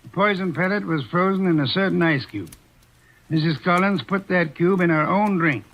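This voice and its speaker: An actor in a 1940s radio drama playing a calm, superior male detective who lectures in measured, dryly amused tones. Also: a little high. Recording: dull old radio transcription.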